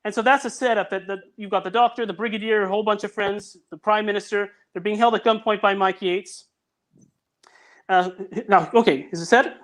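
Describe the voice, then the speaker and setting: A high-voiced man chats on a call, eagerly and insistently steering the conversation. He talks fast at times and often cuts in to keep things moving. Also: steady tone, a bit bright. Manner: eager, directive, talks over others. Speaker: audio drama producer, guest. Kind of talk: casual video-call chat.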